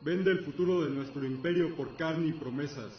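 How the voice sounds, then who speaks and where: A man's voice: a little high, normal speed, lightly acted. A man playing an imperious griffin god in a fantasy radio drama, commanding, solemn and scornful. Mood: stern, demanding. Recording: echoey, distant, dull lo-fi with music.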